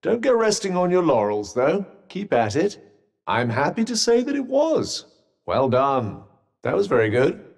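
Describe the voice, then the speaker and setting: Reverberant, haughty British magical cuff. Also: male voice, fairly high pitch, huge pitch swings, a little slow, creaky, a little mellow, full character voice. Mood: pleasantly condescending. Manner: pompous, droll, formal wording. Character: haughty, sardonic talking magical cuff, refined male companion. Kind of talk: scripted video game companion dialogue.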